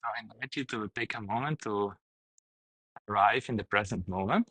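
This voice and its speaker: A man guiding a meditation, speaking gently in short, halting phrases with pauses. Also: fairly low pitch, slightly rough, dry recording, rough recording. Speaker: meditation teacher, guide. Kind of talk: guided meditation, unscripted instruction.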